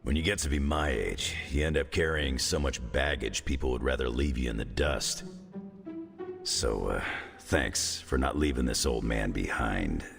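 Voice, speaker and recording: An actor playing a gruff, self-deprecating aging loner in a video game, with a gravelly, laconic delivery. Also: male voice, extremely low pitch, moderate pace, a bit bright, heavily acted. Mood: wry, weary. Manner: laconic, wry. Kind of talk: video game cutscene acting. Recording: long reverb, faint music behind.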